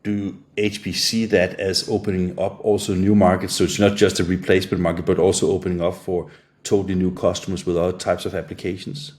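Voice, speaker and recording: A man with a Danish accent, a native Danish speaker, interviewing a guest remotely, halting with many filler uhs. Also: sits low, level tone, a little mellow. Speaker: interviewer, trade media editor.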